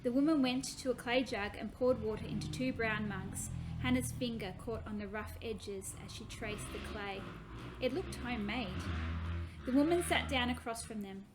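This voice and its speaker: Woman narrating a kids story, dramatic reading, voice on the high side. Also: moderate pace, background noise.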